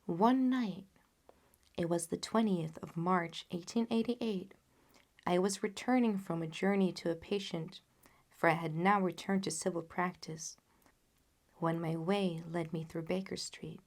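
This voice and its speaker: A woman's voice: lowish voice, fairly even intonation, unhurried. A woman reading classic fiction aloud as scripted audiobook narration. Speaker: audiobook reader.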